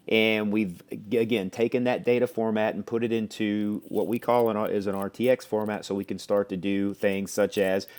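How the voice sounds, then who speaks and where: Man, slightly low pitch, software demo presenter, explanatory walkthrough, conversational, step-by-step.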